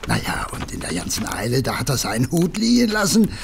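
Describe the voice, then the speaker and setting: An actor playing a humble, nervous working-class messenger with a Berlin accent, rambling and hesitant in colloquial speech, in an audio drama. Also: male voice, medium pitch, huge pitch swings, a little fast, creaky, slight background noise. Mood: rambling, sheepish. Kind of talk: German audio drama acting.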